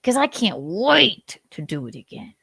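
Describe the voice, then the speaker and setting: A woman's voice: mid-range voice, very animated, a little slow, gravelly, pushed and bright, breathy edge, dry recording, studio quality, heavily acted. Woman putting on a gleeful villainous hawk. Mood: unrepentant. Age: 40s. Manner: gleeful, unrepentant, menacing boasts. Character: violent male hawk who hates bald men.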